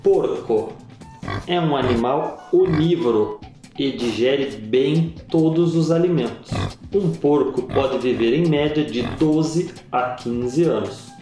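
Slow echoey male narrator. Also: medium pitch, warm tone. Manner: slow, measured reading with pauses. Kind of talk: scripted educational narration. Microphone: voice-over. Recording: very echoey voice-over with music.

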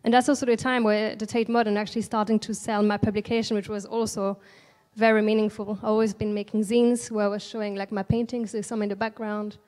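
A woman in her thirties from Alsace in France, a native French speaker with a French accent, speaking gently and reflectively as an artist guest in a stage interview into a handheld mic. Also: medium pitch, level tone, fast talker, few pauses. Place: large indoor event space at a museum, on stage. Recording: long hall reverb.

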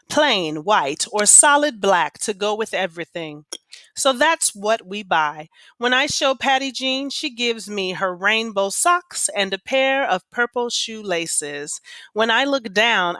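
A woman teacher reading aloud to students with an expressive, deliberate storytelling delivery. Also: medium pitch, no echo. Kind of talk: expressive read-aloud of children's poems and class instructions.

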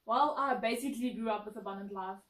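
A woman's voice: fairly high pitch, fast talker, a bit bright. A young woman in her late teens with a South African accent, answering questions in a playful, emphatic way. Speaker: co-presenter being interviewed. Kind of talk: casual interview chat. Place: indoor lounge set, seated on couch. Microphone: far camera mic. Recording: roomy echo, distant mic.

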